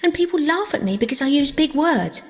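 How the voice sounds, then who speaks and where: A woman's voice: high-pitched, animated, a little fast, voice pushed up. Audiobook child role, performed by a woman: talkative, wordy, starry-eyed young orphan girl; long hall reverb. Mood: gushing, chatty. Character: chatty, dreamy, eager young orphan girl. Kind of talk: audiobook character voice.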